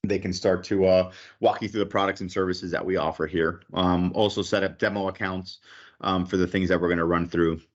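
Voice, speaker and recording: A lower-voiced man walks viewers through software screens in a relaxed, conversational way. He leans on "okay" and "um" as he talks, and the webinar audio sounds dull and lo-fi. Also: fairly quick. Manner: casual, frequent "okay" and "um" fillers. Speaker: product presenter. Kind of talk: webinar product walkthrough.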